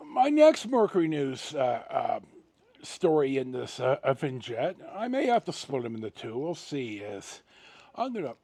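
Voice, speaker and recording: A middle-aged man telling a personal story casually to his viewers as a vlog host, rambling and halting with dramatic pauses and emphasis. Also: mid-range voice, huge pitch swings, mellow, no room sound.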